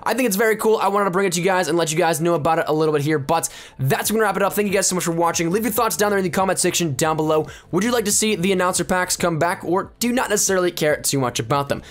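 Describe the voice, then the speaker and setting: Higher-voiced young man presenting gaming news. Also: rapid-fire. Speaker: gaming video host. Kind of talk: upbeat gaming news commentary over gameplay.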